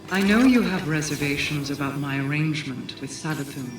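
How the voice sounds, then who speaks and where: An actress playing a guarded, commanding queen in a video game, formal, deliberate and solemn. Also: sits low, crowd noise, natural voice. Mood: solemn, calculating. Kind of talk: dramatic video game monologue. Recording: echoing, distant, music behind.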